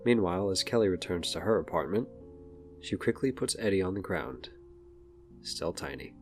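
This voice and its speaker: A man's voice: low-pitched, expressive, moderate pace, darkish tone, faint music behind. A man narrating an audio drama as a scripted present-tense story.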